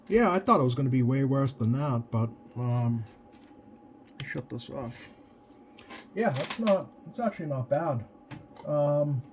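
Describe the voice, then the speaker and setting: A man's voice: medium pitch, darkish tone. A man thinking aloud in casual hands-on commentary, muttering to himself as he tinkers. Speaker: radio hobbyist doing bench tests. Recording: noisy, with clear background noise.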